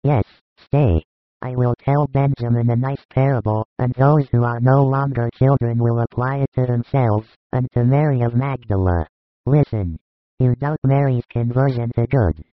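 A man playing a gentle, grave religious teacher in a scripted audiobook drama, speaking in a measured, consoling way. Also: mid-range voice, dry recording, close to an everyday voice. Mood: solemn, teaching. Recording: dry, narrow phone-like sound.

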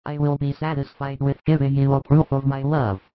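A man's voice: mid-range voice, completely flat delivery, moderate pace, no echo, lightly acted. A man voicing a worried, devoted apostle who speaks in an earnest, pleading way for an audiobook. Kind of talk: audiobook dialogue reading. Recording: dull lo-fi dry recording.

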